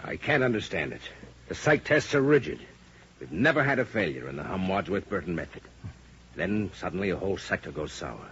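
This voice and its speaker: An actor playing a worried, loyal male aide in a 1950s radio drama, earnest and anxious, with a rough voice. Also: deep voice, big pitch swings, fairly quick, a bit bright, a little hiss or hum, heavily acted. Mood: baffled. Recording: muffled 1950 radio broadcast.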